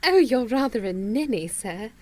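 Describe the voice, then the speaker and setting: A woman's voice: on the high side, lively intonation, normal speed, a little mellow, natural voice. A woman playing a pert, innocent young girl in a period audio drama, cheeky and ingenuous.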